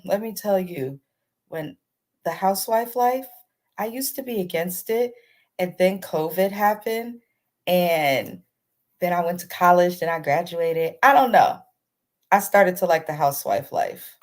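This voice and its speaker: A woman hosting a casual, chatty TV recap, speaking informally to her viewers with a slightly congested voice and a sore throat. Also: lowish voice. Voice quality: slightly congested, not crisp. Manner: informal, addresses viewers directly as "you guys". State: under the weather, sore throat with throat clearing. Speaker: host of a TV recap channel.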